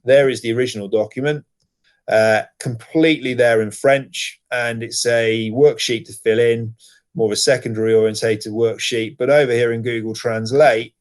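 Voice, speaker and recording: A man, a teacher trainer explaining software step by step in a screen-share tutorial. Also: mid-range voice. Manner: plain, step-by-step explaining with occasional fillers.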